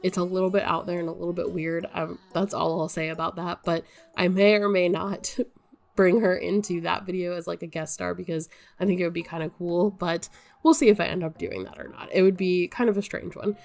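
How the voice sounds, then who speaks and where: Sick, nasal young woman, gravelly edge. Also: fairly low pitch, steady tone, a little fast, a little mellow. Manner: rambling, full of ums and likes. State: stuffy-nosed and sick, voice wearing out. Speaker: gaming YouTuber. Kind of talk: casual chat to viewers.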